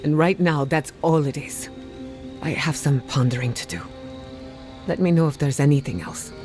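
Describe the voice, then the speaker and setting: An actress playing an earnest, burdened but resolute woman scholar in a fantasy video game, speaking in a measured, reflective way. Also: sits low, music in the background, lightly acted. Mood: bitter, burdened. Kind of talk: dramatic video game dialogue.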